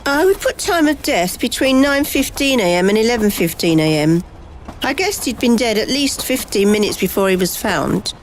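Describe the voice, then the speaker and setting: Woman acting a lively, high-pitched lady doctor. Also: moderate pace. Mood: clinical. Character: brisk, composed lady doctor examining a murder, 1930s mystery. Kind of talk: amateur radio play acting. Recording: clearly noisy amateur recording.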